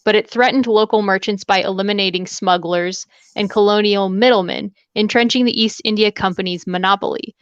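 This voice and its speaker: A woman's voice: mid-range voice, dry recording. An American woman talking casually and a little tentatively in a book discussion. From United States West Coast. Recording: dull, dry livestream call audio.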